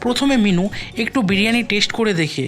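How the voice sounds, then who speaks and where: A woman's voice: medium pitch, expressive, fast. A woman reading scripted storybook narration for a cartoon moral tale. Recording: music behind the voice.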